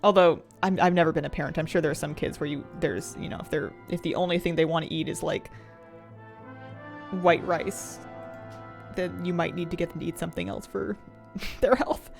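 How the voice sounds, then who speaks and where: A woman in her thirties chatting casually while she plays a game, rambling and halting with restarts and soft laughs. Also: on the low side, steady tone, vocal fry, soft background music. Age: 30s. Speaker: streamer. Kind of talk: casual chat while gaming.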